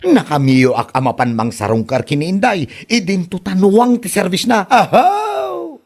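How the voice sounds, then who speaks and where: A man's voice: high-pitched, big pitch swings, normal speed, exaggerated voice. A man playing a boastful, scoffing young man in a comedy audio drama, exclaiming and mocking. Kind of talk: Ilocano comedy radio drama acting.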